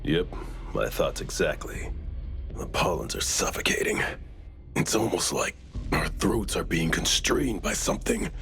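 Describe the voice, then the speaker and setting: A man playing a composed, serious investigator in a video game, briefing in a measured, matter-of-fact way. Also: sits low, huge pitch swings, gravelly, fairly bright tone, faint music behind, full character voice. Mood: calm, cautious. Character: composed, serious male investigator leading a field team. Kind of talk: video game character dialogue.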